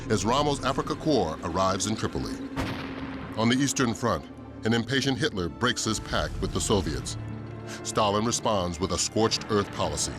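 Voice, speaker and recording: A man giving a grave, portentous scripted narration in the style of a dramatic war documentary. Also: fairly low pitch.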